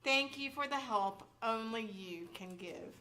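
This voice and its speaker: A woman's voice: on the high side, a little slow. A woman reading a scripted public service announcement on stage. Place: indoor library stage performance.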